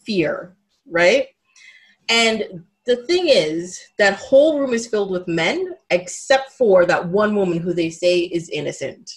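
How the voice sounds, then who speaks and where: A woman co-hosting a podcast, chatting casually about a movie in a rambling, animated way. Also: mid-range voice, measured pace. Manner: animated, rambling, frequent "like" and laughter. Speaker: podcast co-host.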